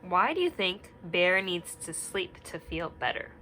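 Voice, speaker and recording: A young woman teacher reading a picture book aloud and asking the children gentle questions, sometimes dropping to a whisper. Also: fairly low pitch, big pitch swings, measured pace, slight background noise, rough recording. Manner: drops to a whisper at quiet parts.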